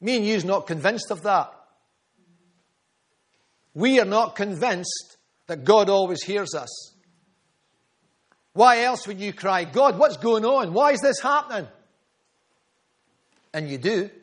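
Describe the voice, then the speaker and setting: Male preacher, high-pitched, earnest storyteller. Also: expressive, takes it slowly, pauses often. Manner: earnest, storytelling, repeats phrases for emphasis. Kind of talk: sermon.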